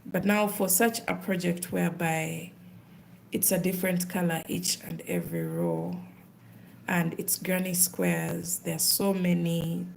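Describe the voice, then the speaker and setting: A woman's voice: fairly low pitch, animated, a little slow, vocal fry, a little hiss or hum, low-quality recording. A woman with a Ugandan accent, chatting casually on a live stream while she does craft work.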